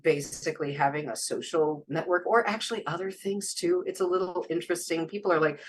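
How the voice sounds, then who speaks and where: A woman's voice: fairly low pitch, fairly quick, slightly rough. A woman guest speaking casually in an interview, a translator and former Chinese teacher, rambling and chatty with frequent laughs. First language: English.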